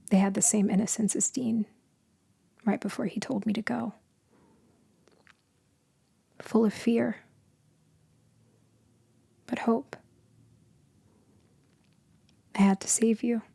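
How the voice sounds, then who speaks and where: Lonely immortal female vampire, played by a woman for ASMR audio roleplay: very slow, creaky, tender and haunted, slipping into whispers. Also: medium pitch, level tone, dry recording, exaggerated voice. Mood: wistful, lonely. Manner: soft, hushed, drifting into whispers.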